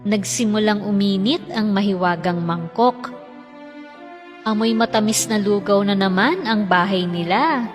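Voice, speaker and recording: A woman's voice: mid-range voice, measured pace, long reverb tail, faint music behind. A woman narrating a scripted children's fairy tale.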